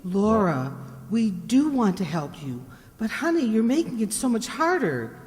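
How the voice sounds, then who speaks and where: A woman playing a private detective's sympathetic assistant in a radio drama, colloquial, expressive and solicitous. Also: medium pitch, unhurried. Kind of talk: old-time radio drama acting. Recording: roomy hall with long reverb.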